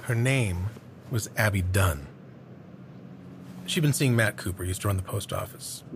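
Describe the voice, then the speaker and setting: An actor playing an earnest older small-town man in an audio drama, hesitantly recalling a dark local legend. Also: fairly low pitch. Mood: somber, reminiscing. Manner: hesitant, halting storytelling. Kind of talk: naturalistic audio drama acting. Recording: clear background ambience noise.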